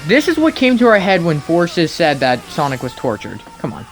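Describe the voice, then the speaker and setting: A man's voice: a little high, wildly swooping pitch, moderate pace, soft background music. A man giving mocking, nitpicking commentary on game cutscenes in an incredulous, sarcastic manner. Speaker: video commentator.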